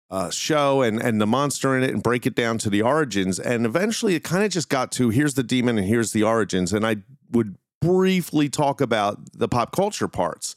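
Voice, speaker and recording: A man's voice: lowish voice, clear, resonant tone, dry recording, studio quality. A man hosting a solo podcast, talking casually and informally in a meandering way. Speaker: podcast host.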